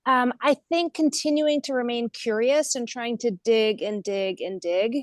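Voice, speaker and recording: A woman's voice: a little high, a little slow, no room sound. American woman, very dry close sound. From United States. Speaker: guest speaker, nonprofit leader. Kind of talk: informal webinar answers, reflective. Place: online video call.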